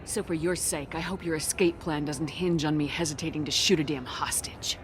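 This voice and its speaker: A woman's voice: sits low, steady tone, normal speed, background noise, natural voice. A woman playing a bold, sardonic, commanding space-marine commander in a cinematic video game. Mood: cool, resolute. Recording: music behind the voice.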